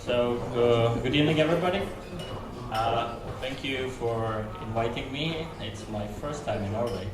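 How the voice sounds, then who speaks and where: A man with a Slovak accent introducing himself on a panel, joking and halting with restarts. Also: mid-range voice. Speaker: panelist journalist. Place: conference hall. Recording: echoey hall, audible noise.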